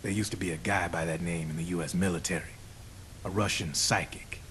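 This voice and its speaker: An actor playing a slick, cynical arms dealer in a game cutscene, explaining smugly in folksy slang. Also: male voice, deep voice, fairly even intonation, fairly quick, a bit bright, a little hiss or hum. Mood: smug, knowing. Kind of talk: video game cutscene acting.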